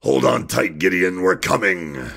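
A man acts a gruff, impatient adventurer in an audio drama. The voice is low and gravelly, full of fry. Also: expressive, a little slow, fairly bright tone, full character voice. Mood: urgent. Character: gruff, impatient male man of action, Mick.

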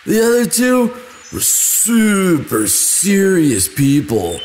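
Man acting a slow-talking, sweet himbo boyfriend. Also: high voice, wildly swooping pitch, a little mellow, slight background noise, heavily acted. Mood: smitten, excited. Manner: slow, dude-ish drawl full of likes and sighs. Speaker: audio roleplay performer. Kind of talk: intimate boyfriend roleplay, casual chat.

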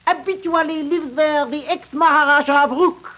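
An actor playing a fussy, excitable French hotel manager with a French accent and broken English in a radio play. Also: male voice, very high-pitched, normal speed. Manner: theatrical, exclamatory, broken English.

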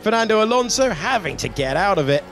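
A man giving excited motorsport race commentary as the commentator of a broadcast. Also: high voice, quick pace. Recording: loud music behind commentary. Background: music and racing car engines.

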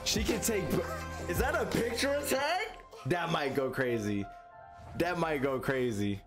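A man's voice: a little high, sing-song, a little slow. A young man giving casual reaction commentary in slangy speech, repeating phrases in bursts. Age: young adult. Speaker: reaction video host. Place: small room indoors at a streaming setup. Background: music from the trailers he watches.

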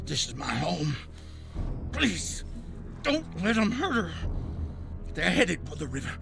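A wounded western rancher in a game, a desperate father. His voice is weak and pained, creaky, slow and pleading, with music behind it. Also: high-pitched, huge pitch swings, exaggerated voice. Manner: halting, pleading. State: in pain, weak. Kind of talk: western video game acting.

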